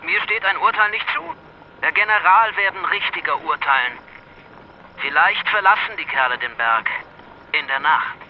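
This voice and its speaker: An obedient military officer in a radio play, acted by a man. His voice is high, formal and clipped, with a dull lo-fi sound and faint noise behind. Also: moderate pace, lightly acted. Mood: dutiful, nervous. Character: obedient, deferential Turkish army officer reporting. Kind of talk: German radio drama acting.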